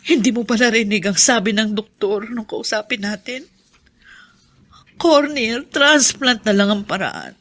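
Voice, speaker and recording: A woman's voice: fairly high pitch, a little slow, fairly bright tone. An actress playing a middle-aged Filipino mother who is losing her sight, resigned and self-sacrificing, in radio drama style. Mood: resigned, sad.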